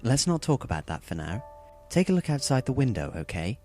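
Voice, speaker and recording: A man playing a calm, courteous, secretive teenage boy who makes measured announcements in an anime-style audio drama. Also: medium pitch, faint music behind, natural voice. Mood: calm, evasive.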